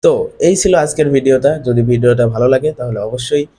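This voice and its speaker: A man's voice: medium pitch, steady tone, fast, mellow, no room sound, clean studio sound. A man narrating a step-by-step screen tutorial as its host.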